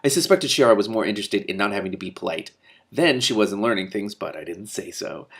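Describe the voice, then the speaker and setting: A man reading a fantasy story aloud in an animated, informal way, now and then correcting himself. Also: on the low side, quick pace, vocal fry, husky. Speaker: reader and commentator. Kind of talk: expressive storybook read-aloud.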